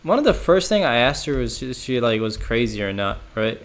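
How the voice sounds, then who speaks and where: A man's voice: medium pitch, very animated, brisk. A young man streaming from home, chatting casually and rambling, full of "like" and "bro" and frequent laughs. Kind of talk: casual livestream chat reacting to chat.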